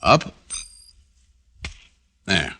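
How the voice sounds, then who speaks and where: A man's voice: drawn-out words, sharp tone. An American man playing a wry, unflappable private detective in 1940s radio drama style, wisecracking and sardonic. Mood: coaxing, nonchalant. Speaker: actor and first-person narrator.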